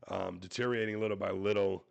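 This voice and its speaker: A man hosting a casual crypto livestream, hoarse and losing his voice, hyping coins and calling out to viewers. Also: fairly low pitch, fairly even intonation, quick pace, muffled recording. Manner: hype-driven, repeats "everybody", talks to viewers. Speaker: crypto show host.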